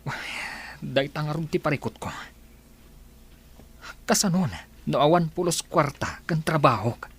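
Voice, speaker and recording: A man playing a rich young son who wants money of his own, with a husky voice, in a dramatised story. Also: a little high, unhurried, long pauses, full character voice. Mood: troubled.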